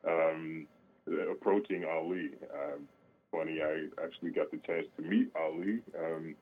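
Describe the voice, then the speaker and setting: A very deep-voiced man speaks over a thin, phone-like line. He is an opera singer being interviewed on the radio. His answers are halting and full of fillers and restarts. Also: fairly even intonation, a little hiss or hum.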